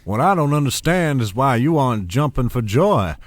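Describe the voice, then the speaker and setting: An actor playing an imperious older family patriarch in an audio soap opera, condescending and falsely affectionate. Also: male voice, medium pitch, big pitch swings, moderate pace, no room sound, natural voice. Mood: sly, pressing. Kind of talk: soap-opera radio acting.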